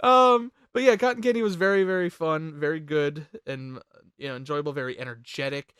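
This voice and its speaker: A host in a casual group chat, talking in an animated, rambling way with some swearing. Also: mid-range voice, brisk, smooth, no room sound, studio quality.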